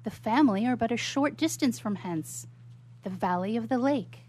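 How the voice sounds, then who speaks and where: An actress plays a tender young woman fretting over her brother in a radio theatre melodrama. Her voice is slightly high. She speaks with formal, refined phrasing and a solicitous, pleading manner. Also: lively intonation, moderate pace, darkish tone. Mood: gentle, hopeful. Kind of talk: melodramatic 19th-century stage acting in radio theatre.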